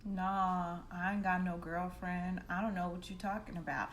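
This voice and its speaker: A woman casually telling a personal story in an animated, slangy, heavily profane way, acting out the dialogue as she goes. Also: lowish voice, moderate pace, a little hiss or hum. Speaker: storytime vlogger.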